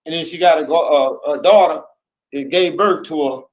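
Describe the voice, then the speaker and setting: A middle-aged man talking casually as host, his voice sitting a little high. He is chatty and teasing, and he often stumbles over his words and repeats them. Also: moderate pace, dull audio. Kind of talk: casual father-son talk show.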